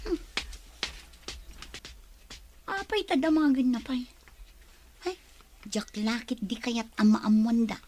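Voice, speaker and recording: A woman's voice: fairly high pitch, lively intonation, slow pace, long pauses, mellow, slight background noise. An actress playing an aloof, snippy young female college student in a radio drama, curt and haughty. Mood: snippy, annoyed.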